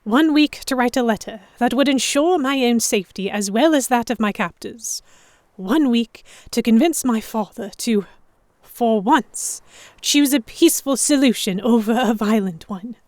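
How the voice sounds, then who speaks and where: A woman's voice: fairly high pitch, darkish tone, no room sound, natural voice. Woman as guarded young noblewoman narrator, dry sound. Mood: anxious dread. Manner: measured, introspective, literary. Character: anxious, guarded young noblewoman captive narrating her story. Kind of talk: first-person dramatic narration, historical romance audio drama.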